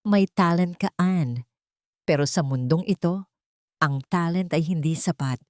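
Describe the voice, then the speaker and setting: Woman reading as strict boss man, measured, commanding, audio drama, very dry sound. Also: on the low side, no room sound, lightly acted. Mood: stern.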